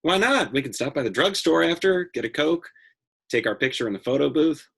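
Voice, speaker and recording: A man's voice: a little high, lively intonation, fairly quick, vocal fry, a bit bright, breathy edge, exaggerated voice. An actor in a play reading, playing an easygoing young factory man with a folksy, plain-spoken manner. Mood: persistent, hopeful.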